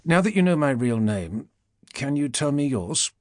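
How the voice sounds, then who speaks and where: A man's voice: mid-range voice, very animated, normal speed, darkish tone, dry recording, clean studio sound, voice pushed up, natural voice. A man voicing a nervous, well-mannered British man who speaks in a stiff, polite, guarded way.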